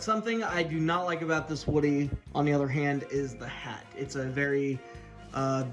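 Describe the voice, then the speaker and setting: A man giving a casual hands-on toy review as the host, sharing opinions in a hesitant, meandering way. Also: fairly high pitch. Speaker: toy collector reviewer hosting an unboxing video. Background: background music.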